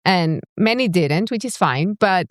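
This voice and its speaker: A woman from Venezuela with a Venezuelan accent, co-hosting a casual podcast conversation in a candid, enthusiastic way with quick restarts. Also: on the low side, animated, dry recording, studio quality. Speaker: podcast co-host.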